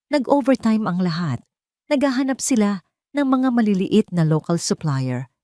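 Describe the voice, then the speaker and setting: A woman's voice: fairly low pitch, darkish tone, dry recording, clean studio sound. A woman narrating a scripted dramatic story.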